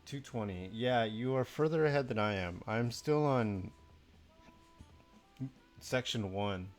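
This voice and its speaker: A man's voice: medium pitch, takes it slowly, soft background music. A young man from Mexico chatting casually on a live stream, sleepy, rambling and self-deprecating, swearing now and then.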